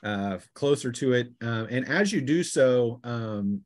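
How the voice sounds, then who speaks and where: Man narrating as a halting game master. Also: mid-range voice, expressive. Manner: halting, drawn-out scene narration. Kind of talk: narrating a tabletop roleplaying session.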